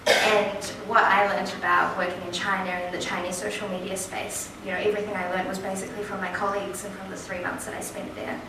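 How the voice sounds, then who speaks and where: A woman's voice: medium pitch, fast, few pauses. Young New Zealand woman in echoey hall. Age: 20s. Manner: chatty, lively storytelling with quoted asides. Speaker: panel speaker. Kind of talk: personal story talk at a forum. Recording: echoey hall from far off, noisy.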